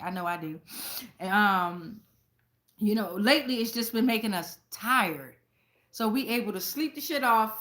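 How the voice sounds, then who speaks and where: A woman with a somewhat high, sharp-edged, very bright voice hosts a casual tarot reading. She speaks bluntly and swears freely. She keeps checking in with her viewers as she slips between the cards and personal anecdotes. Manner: conversational, addresses viewers directly with frequent check-ins. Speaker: tarot reader and channel host.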